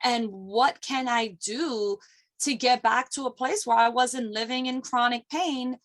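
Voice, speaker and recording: A woman's voice: on the high side, unhurried, fairly bright tone. Emphatic woman expert on a video call. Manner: emphatic, rhythmic lists, motivational. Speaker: guest, sonographer and ergonomics expert. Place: office, indoors.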